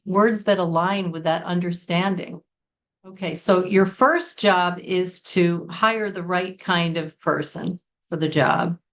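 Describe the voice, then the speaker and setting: An American woman from Connecticut, middle-aged, talks through a business English lesson in a sincere, carefully paced way. Her voice sits at an ordinary middle pitch. She puts weight on important words as she explains. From Connecticut, United States. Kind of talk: scripted lesson to camera.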